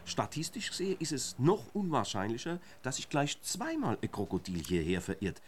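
An actor playing a pedantic, sceptical police interrogator in a radio drama, dry and condescending. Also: male voice, medium pitch, huge pitch swings, normal speed, slight background noise. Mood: dry, dismissive.

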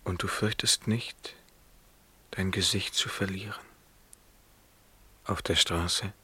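A man's voice: sits low, steady tone, takes it slowly, sharp tone, husky, exaggerated voice. An actor playing an impassioned, possessive young man who speaks with commanding intensity in a radio play. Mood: tender, commanding. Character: impassioned, possessive young male lover Jan. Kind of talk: stage-trained radio drama acting. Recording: 1971 radio play, noisy ambience.

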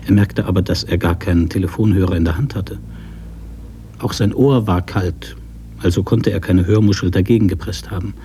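A man narrating a literary radio drama from a script in a measured, sober manner. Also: low-pitched, dark tone, slight background noise.

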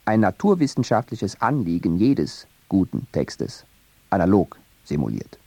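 Guy reading a poetry essay for radio, low voice, lots of up and down, dry studio sound. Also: sing-song, creaky, dark tone, breathy edge, no echo. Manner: measured, deliberate literary reading.

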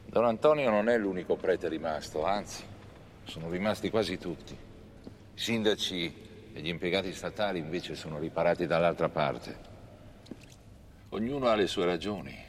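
A man reading scripted historical narration for a documentary film in a measured storytelling manner. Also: low-pitched, a little hiss or hum.

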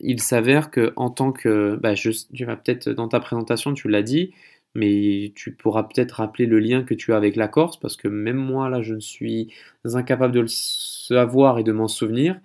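Creaky-voiced male podcast interviewer. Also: medium pitch, fairly even intonation, moderate pace, a little mellow. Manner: rambling, hesitant phrasing. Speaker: podcast host interviewing a guest. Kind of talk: casual conversational interview.

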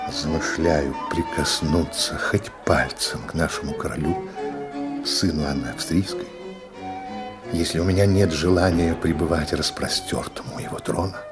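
Secretive courtly plotter, low register, devout and theatrical radio-play delivery, music underneath. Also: male voice. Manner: theatrical, solemn oaths and hushed urging. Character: scheming courtly conspirator, smooth, devout, secretive.

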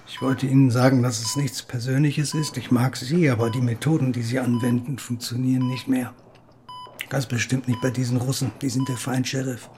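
A man's voice: mid-range voice, fairly even intonation, moderate pace, a little mellow, soft background music, close to an everyday voice. Male, acting a tough small-town policeman for radio drama: mid-range voice, blunt, cocksure, combative. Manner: blunt, self-assured. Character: hard-line, combative policeman challenging the sheriff. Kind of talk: audio drama dialogue.

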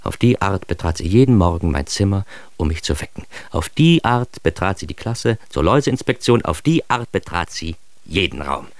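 A man's voice: low voice, very animated, moderate pace, no echo. An actor playing an ironic, self-important man who narrates his childhood in a radio drama, with wry, mock-grandiose emphasis. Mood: wry admiration. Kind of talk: scripted first-person radio drama narration.

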